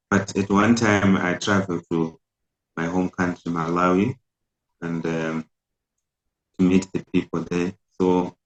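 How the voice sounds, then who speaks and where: Slow, low-voiced imam with roomy echo. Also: male voice. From Zimbabwe. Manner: hesitant, many filler pauses. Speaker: imam and national community president. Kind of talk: interview answers.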